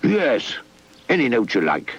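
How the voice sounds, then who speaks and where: A man's voice: medium pitch, wildly swooping pitch, unhurried, breathy edge, voice pushed up, full character voice. A man voicing a conceited, imperious puppet tyrant in a children's story. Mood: commanding. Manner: imperious, firm. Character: conceited, bossy male puppet villain, petty tyrant. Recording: muffled old VHS tape, music underneath.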